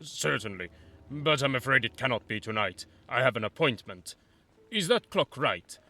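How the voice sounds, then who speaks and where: A man's voice: mid-range voice, expressive, moderate pace, sharp tone. A man voicing a nervous, evasive young male student who stammers and hurries his words.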